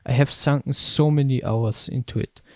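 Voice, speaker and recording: Mellow, slightly deep man narrating. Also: moderate pace, no echo. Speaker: video essayist and game reviewer. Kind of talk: scripted narration.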